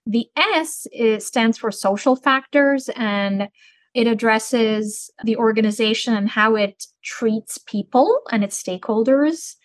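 A woman's voice: on the high side, no echo. Articulate middle-aged woman from Turkey. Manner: measured, articulate, explanatory. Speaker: business school dean. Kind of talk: podcast interview answers.

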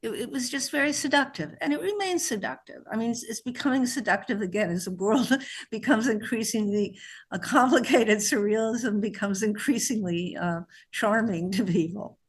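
A woman's voice: on the high side, moderate pace, slightly rough. Woman, middle-aged, author being interviewed, chatty, restarts sentences, New York upbringing. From born in New Mexico, raised in New York. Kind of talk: conversational interview.

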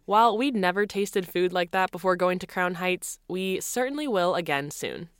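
A woman's voice: on the low side, lively intonation, moderate pace, faint music behind. A woman reporter reading scripted narration in an upbeat way.

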